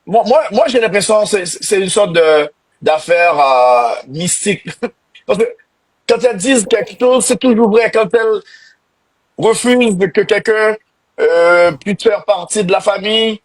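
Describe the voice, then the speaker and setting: Husky Haitian-born interviewer. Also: male voice, high voice, animated, measured pace, rough recording. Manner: reactive, frequent exclamations and affirmations. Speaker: host. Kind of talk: live interview hosting.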